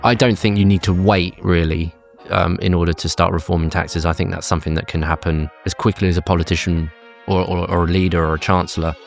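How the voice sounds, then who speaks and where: Deep-voiced British man explaining policy. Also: level tone, vocal fry, music in the background. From United Kingdom. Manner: measured, explanatory, frequent fillers and restarts. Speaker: interview guest, campaign group chief. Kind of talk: conversational policy interview.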